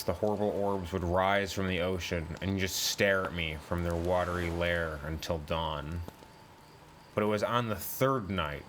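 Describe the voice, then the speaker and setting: A man narrating a first-person horror story in a deliberate, brooding, suspenseful way, as in a dramatic audiobook. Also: low-pitched, a bit bright, a little hiss or hum.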